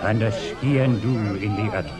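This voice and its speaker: An actor playing a genial, persuasive Scottish police superintendent in a radio drama, hearty and coaxing. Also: male voice, lowish voice, normal speed, close to an everyday voice. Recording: busy crowd behind radio dialogue. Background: crowd chatter.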